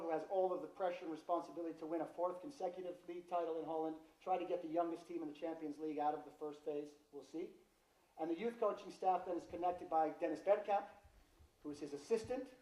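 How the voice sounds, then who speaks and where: A man's voice: on the high side, mellow. An American man giving a seminar presentation to coaches, speaking directly and posing rhetorical questions. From United States. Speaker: football development presenter. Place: large indoor seminar hall. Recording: roomy hall, distant microphone.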